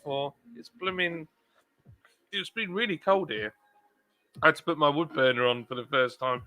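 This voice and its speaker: A man's voice: on the high side, sharp tone, no echo. A man hosting a casual live stream, chatting and reading out viewer comments with plenty of fillers. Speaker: livestream host. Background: faint country music.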